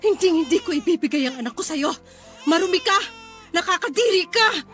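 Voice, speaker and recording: A woman playing a furious, betrayed young wife and protective mother in a Filipino radio drama, confrontational and tearful, shouting with biting sarcasm. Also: very high voice, lively intonation, a little fast, faint music behind. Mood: tearful rage.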